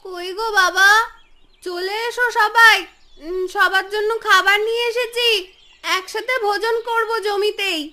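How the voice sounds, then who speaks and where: Woman voicing very high-pitched caring village girl. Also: steady tone, a little slow, pushed and bright, exaggerated voice. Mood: cheerful calling. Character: two young village sisters, caring, one anxious, one calm. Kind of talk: children's fairy-tale cartoon dubbing. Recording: music behind the voice.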